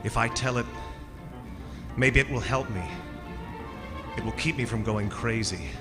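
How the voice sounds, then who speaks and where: A man playing an anxious thirty-six-year-old man recounting a terrifying tale in a halting, repetitive radio suspense monologue. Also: mid-range voice, music in the background, close to an everyday voice. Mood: anxious, haunted. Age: 30s. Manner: halting, repetitive, insisting on his sanity.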